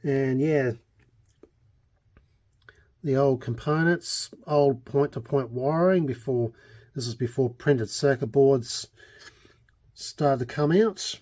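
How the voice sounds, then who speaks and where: Australian man, soft dark timbre, mid-pitched, casual hobby vlog narration, hesitant, meandering, trailing thoughts. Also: slow, long pauses. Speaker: hobbyist vlogger. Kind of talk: casual unscripted show-and-tell narration.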